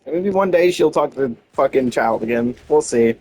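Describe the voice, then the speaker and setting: A man's voice: medium pitch, animated, moderate pace, a little mellow. Rambling, sweary male game streamer. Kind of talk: casual playthrough commentary. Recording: faint background game noise.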